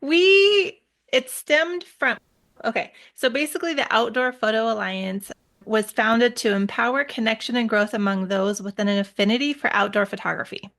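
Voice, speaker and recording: A middle-aged woman from the United States, a guest in an interview, explaining things in a steady way with a few restarts. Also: on the high side, moderate pace. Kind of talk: casual interview chat.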